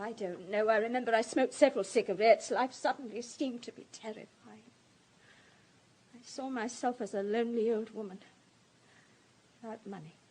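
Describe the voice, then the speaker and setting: Actress as a distraught, high-voiced society woman. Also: a little mellow, slight background noise, lightly acted, rough recording. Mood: haunted, anxious. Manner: halting, breathy, emotional delivery with stammers. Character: distraught, self-pitying society woman under police questioning. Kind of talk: radio drama acting.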